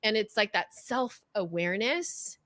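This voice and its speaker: A middle-aged woman with a California Valley accent, whose first language is Korean, talking fast and passionately as a coach in an interview, sometimes stumbling over her words. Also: medium pitch, animated, fairly bright tone, dry recording. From Southern California. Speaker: guest coach. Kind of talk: energetic interview chat.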